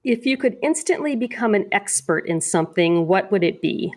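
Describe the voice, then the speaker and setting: A woman's voice: medium pitch, expressive, normal speed. A woman hosting a podcast, asking short questions and encouraging guests. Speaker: podcast host. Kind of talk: interviewing guests.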